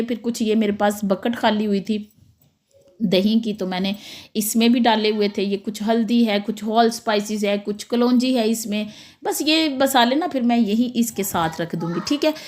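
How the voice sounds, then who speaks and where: Hoarse, chatty Pakistani Punjabi woman. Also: fairly high pitch. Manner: chatty, slips into Punjabi, laughs often. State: hoarse throat. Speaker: cooking vlogger. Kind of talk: casual kitchen demonstration.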